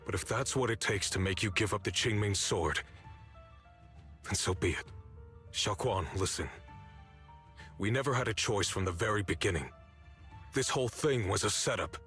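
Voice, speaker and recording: A man's voice: lowish voice, moderate pace, long pauses, a bit bright, close to an everyday voice. An actor playing a bitter, resolute young man, an orphaned former disciple, in a dramatic video game with sardonic, accusing delivery. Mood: grim resolve. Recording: studio voice over background music. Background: music.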